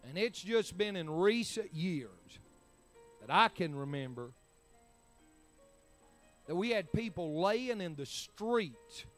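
A man's voice: high-pitched, expressive, takes it slowly, long pauses, faint music behind. A man, preaching a sermon in emphatic, rising bursts. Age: 40s. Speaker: pastor.

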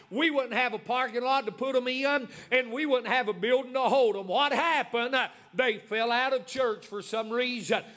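A man's voice: very high voice, sharp tone. A man in his forties preaching a sermon as pastor, with many "uh" fillers and emphatic repetition. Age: 40s.

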